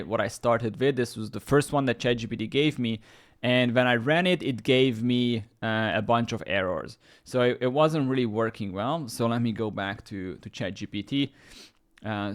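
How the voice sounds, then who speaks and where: A man whose first language is Hungarian walks viewers through a screen-share tutorial. His voice sits at an ordinary middle pitch. He rambles, restarts his sentences and leans on fillers as he explains. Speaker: YouTube tech host.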